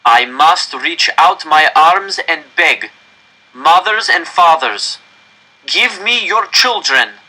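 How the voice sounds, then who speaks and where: A man voicing a desperate male council director in a story, addressing an angry crowd with loud, pleading oratory. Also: fairly high pitch, animated, slow pace, voice pushed up, exaggerated voice, poor audio.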